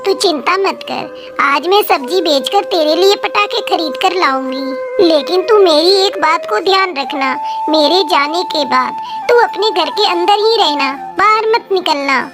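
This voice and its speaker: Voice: very high-pitched, expressive, a little slow. Woman voicing a worried poor mother crow. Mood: gentle. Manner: anxious, scolding, protective. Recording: music behind.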